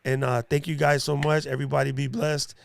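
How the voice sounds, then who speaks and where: A man's voice: a little high, level tone, fairly quick, creaky, a crowd in the background. A man hosting a casual live stream chat, playful and joking with plenty of slang.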